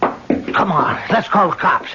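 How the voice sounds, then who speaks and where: A man's voice: high-pitched, expressive, slow. An actor playing a frightened, stammering handyman in an old-time radio drama. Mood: panicky. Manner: stammering, nervous. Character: flustered, frightened working-class handyman. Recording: dull radio recording with clear noise.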